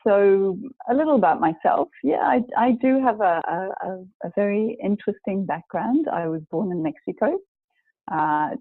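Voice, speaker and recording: A woman in her forties from New Zealand, an executive coach telling her story as a guest, measured and pausing often to find her words. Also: lowish voice, no room sound. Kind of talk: personal storytelling in a live video chat. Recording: tinny, phone-like dry sound.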